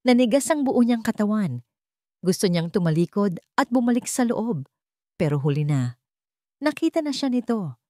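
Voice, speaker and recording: A woman's voice: mid-range voice, big pitch swings, normal speed, long pauses, warm tone, no room sound, clean studio sound. A woman reading scripted dramatic narration of a romance story as its storyteller.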